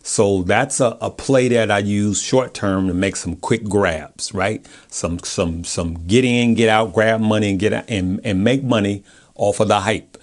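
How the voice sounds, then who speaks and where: A man's voice: on the low side, a little slow. An American man talking casually and informally to his viewers as a stock video host, in a chatty, colloquial way. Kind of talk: casual chat, giving stock commentary from notes.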